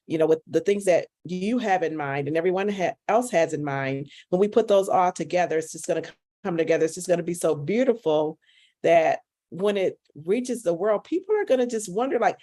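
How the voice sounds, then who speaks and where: A woman's voice: lowish voice, expressive, moderate pace, warm tone. A woman giving a fervent, upbeat testimonial on a call, repeating herself as she builds enthusiasm. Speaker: caller sharing a testimonial.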